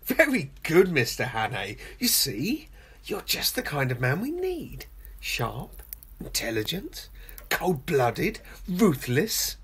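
A woman playing a suave, gloating male professor and secret spy in a radio play, pompous mock courtesy and a husky, breathy voice. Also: sits low, huge pitch swings, slow, exaggerated voice. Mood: quietly menacing. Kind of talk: homemade radio play acting.

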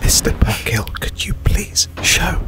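A man's voice: a little slow, lightly acted. A man putting on a mock-solemn, pompous ASMR presenter, speaking in whispers.

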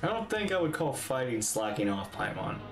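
A man's voice: mid-range voice, very animated, moderate pace, over music. A man in his thirties reading dialogue aloud as he streams a game playthrough indoors. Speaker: streamer reading the player character's lines.

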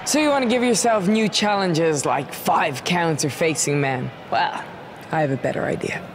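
A woman's voice: deep voice, animated, moderate pace. A woman playing a swaggering, menacing leader of a wrestling gang in a video game, self-assured and threatening. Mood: smug, threatening. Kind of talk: scripted video game voice acting. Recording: noisy arena crowd behind voice.

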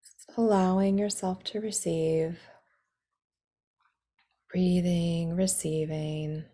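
A woman guides a meditation at a very slow, unhurried pace. Her voice is low and mellow, with soothing instructions that sometimes soften into breathy near-whispers. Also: fairly even intonation.

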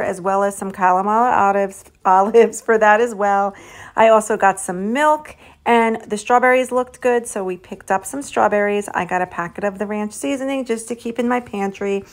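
A woman's voice: on the high side, a little fast. A woman chatting casually through a grocery haul, steadily listing items and what each one cost. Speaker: vlogger.

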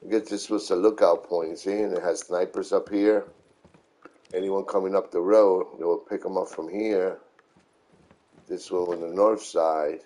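A middle-aged man giving casual, unhurried live game commentary, repeating himself as he explains. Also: low voice, steady tone, mellow. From New York City, United States. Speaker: video game streamer.

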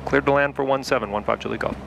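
A man's voice: mid-range voice, fairly even intonation, fast, creaky, background noise. A man from Utah giving easygoing running commentary as he flies a home-built flight simulator, talking himself through cockpit checklists. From Salt Lake City, Utah. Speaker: home flight-sim pilot. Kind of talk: casual running commentary while flying.

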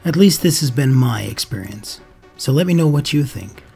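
A man's voice: medium pitch, lively intonation, moderate pace, gravelly, mellow, husky. A man narrating a tutorial as the host of an amateur radio channel. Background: music.

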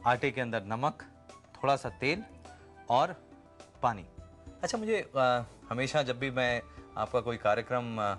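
Relaxed man explaining a recipe. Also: medium pitch, soft background music. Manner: relaxed, explaining step by step with pauses. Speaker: TV chef and host. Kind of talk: cooking show demonstration with chat. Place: TV studio kitchen. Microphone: lapel mic.

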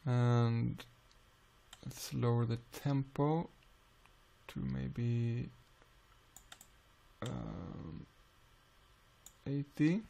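Slow, gravelly man with a Swedish accent. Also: medium pitch, slight background noise. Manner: calm, unhurried explaining with frequent "uh" pauses. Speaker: music software tutor. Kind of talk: screen-recorded software tutorial.

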